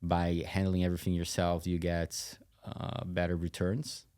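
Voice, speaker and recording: A man explaining things conversationally as an interview guest, in a halting way with frequent asides. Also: sits low, completely flat delivery, a little mellow, clear, resonant tone. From Brazil. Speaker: tech company CEO, interview guest.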